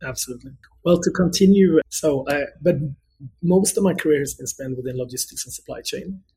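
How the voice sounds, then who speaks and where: A man's voice: fairly high pitch, fairly quick, dark tone, slightly rough, poor audio. A middle-aged man from Sweden with a mixed international Swedish accent, answering conversationally as an interview guest. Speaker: interview guest, company executive.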